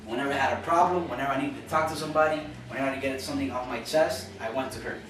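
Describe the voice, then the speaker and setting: A man's voice: medium pitch, racing, crowd noise. A young man from Cuba giving an earnest, informal classroom speech as a college student, often repeating and restarting phrases. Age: young adult. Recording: echoey room from far off with noise.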